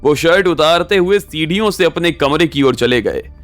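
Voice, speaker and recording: A man's voice: fairly high pitch, animated, normal speed, fairly bright tone. A man narrating a scripted audio story as its storyteller. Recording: music bed behind the voice.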